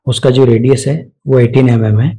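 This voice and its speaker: A man with an Indian accent narrating a step-by-step software tutorial, explaining each action aloud as an instructor. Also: mid-range voice, flat delivery, brisk.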